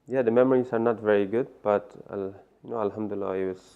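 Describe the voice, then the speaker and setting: Guy, super low gravelly voice, kinda hesitant, muffled recording. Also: steady tone, quick pace. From Afghanistan. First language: Farsi. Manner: hesitant, many fillers and restarts. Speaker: interview guest. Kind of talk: personal story interview. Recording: muffled lo-fi sound.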